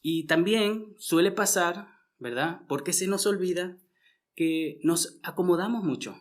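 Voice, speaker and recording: A man's voice: sits high, measured pace. Venezuelan man preaching a sermon. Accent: Venezuelan Spanish. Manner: conversational, frequent tag questions and restarts.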